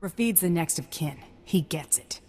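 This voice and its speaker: A woman's voice: fairly low pitch, lively intonation, normal speed, a little mellow. A woman voicing a tough, sardonic mercenary in a video game, with a dry, curt delivery. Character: tough, sardonic female bounty hunter, mercenary and flippant.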